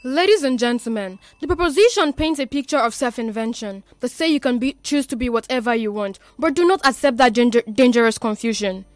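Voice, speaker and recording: A woman's voice: fairly high pitch, lively intonation, a little fast, hardly any pauses, no room sound, soft background music. A young woman with a Nigerian accent gives an emphatic rehearsed debate speech as a student, stumbling and repeating phrases. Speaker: student debater, chief speaker for opposition.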